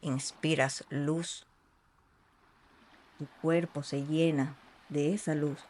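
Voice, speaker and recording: A meditation guide speaking in a soothing, unhurried way with long pauses between short phrases. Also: medium pitch, level tone, a little mellow. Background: ambient sea sounds.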